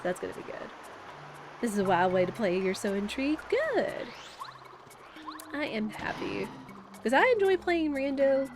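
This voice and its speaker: A woman's voice: fairly high pitch, big pitch swings, normal speed, pauses often, noisy background. A young woman who sounds congested and nasal from a cold, chatting casually while streaming a game with rambling, filler-heavy talk. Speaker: gaming streamer. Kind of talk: casual live-stream commentary. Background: game music.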